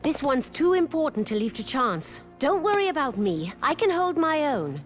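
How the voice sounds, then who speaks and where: A woman's voice: sits high, very animated, normal speed, soft background music. A woman playing an earnest, independent, determined young racer in a video game. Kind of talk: video game cutscene acting.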